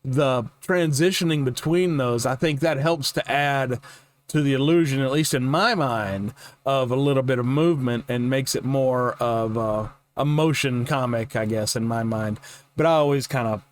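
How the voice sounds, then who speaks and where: An older man hosts a reaction show. He talks in a loose, folksy, rambling way and is happy to make fun of himself. His pitch sits in an ordinary middle range for a man. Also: moderate pace. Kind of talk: casual rambling reaction commentary.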